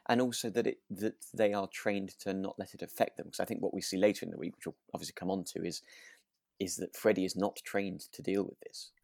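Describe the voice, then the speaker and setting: A man with a very low, creaky voice chats casually about a radio drama as a co-host. He feels his way through sentences, repeating words, in a dry, close sound. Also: steady tone, fast, darkish tone, dry recording. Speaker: podcast co-host.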